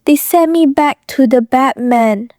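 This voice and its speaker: An actress playing a frightened, guarded young woman with a thick foreign accent, speaking halting broken English in an audio drama. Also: sits high, a little mellow, no echo, studio quality. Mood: fearful, flat. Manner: halting, broken English in short clipped phrases.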